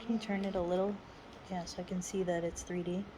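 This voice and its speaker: A woman's voice: on the low side, little pitch movement, fast talker. A woman chatting casually in short remarks, recorded off-mic. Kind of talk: casual chat. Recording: noisy home recording, off-mic.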